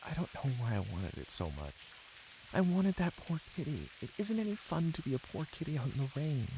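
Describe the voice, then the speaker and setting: A man's voice: mid-range voice, sing-song, a little fast, noisy background, voice pushed up. A man voicing a restless, wistful young American wife, plaintive and insistent as she longs for things.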